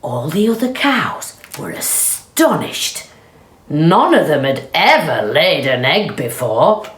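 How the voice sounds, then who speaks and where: A woman reading a children's storybook aloud with expressive, deliberate delivery. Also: deep voice. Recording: roomy, echoey sound.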